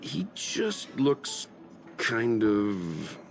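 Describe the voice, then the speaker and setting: Game role: deep-voiced, slow, courteous middle-aged investigator. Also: male voice, big pitch swings, creaky, soft background music, full character voice. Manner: measured, courteous, hesitant. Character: reserved, polite older male investigator. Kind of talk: video game voice acting.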